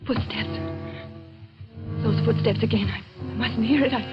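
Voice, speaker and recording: An actress playing a fragile, terrified young woman near madness in a radio suspense play, repeating herself in halting, pleading words. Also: fairly high pitch, animated, muffled recording, loud music behind the voice, close to an everyday voice. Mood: anxious, self-soothing. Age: young adult. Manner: halting, repeating, panicky self-talk. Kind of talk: 1940s radio suspense acting. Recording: old radio drama recording.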